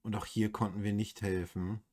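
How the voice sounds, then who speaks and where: Husky, low-voiced German man. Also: flat delivery, moderate pace, darkish tone. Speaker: let's play host. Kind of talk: casual game commentary.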